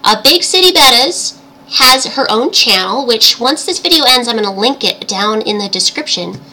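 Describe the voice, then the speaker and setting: A woman's voice: a little high, lively intonation, moderate pace, pushed and bright, rough recording. A woman with a tiny, girlish, cartoon-princess voice hosts a casual livestream chat in a cheerful, giggly, chatty way. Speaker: livestream host. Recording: livestream audio with background noise.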